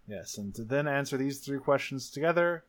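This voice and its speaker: Man playing a tabletop game, medium pitch. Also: lively intonation, normal speed. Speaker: player in an actual play tabletop game. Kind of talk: improvised tabletop roleplay and rules reading.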